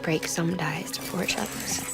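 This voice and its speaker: Casting: a weary, resolute woman leader for a story game; slightly low, gravel-edged, softly deliberate delivery. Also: fairly even intonation, over loud music. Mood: solemn, pleading. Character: earnest, weary woman leader, quietly determined. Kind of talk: cinematic video game acting.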